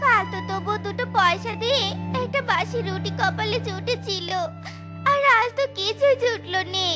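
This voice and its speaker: A woman's voice: very high-pitched, moderate pace, over music. A woman voicing a poor, tender but resolute mother fox in a children's cartoon, pleading and folksy. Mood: worried, plaintive.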